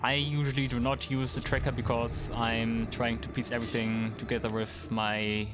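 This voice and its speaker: A man's voice: medium pitch, normal speed. A man in his thirties from Germany, casually talking through a livestream of a game and answering chat. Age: 30s. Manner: relaxed, answers chat questions, chuckles. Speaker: streamer. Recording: game music behind. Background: game music.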